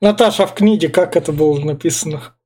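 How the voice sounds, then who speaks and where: High-voiced man with a mellow tone. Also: fast talker. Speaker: podcast co-host. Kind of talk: casual film discussion.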